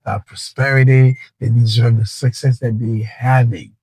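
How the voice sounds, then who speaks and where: A man's voice: mid-range voice, fairly even intonation, normal speed, darkish tone, breathy edge, no room sound, poor audio. A man hosts a motivational podcast, speaking earnestly and restarting phrases as he coaches listeners. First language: Haitian Creole. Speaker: podcast host.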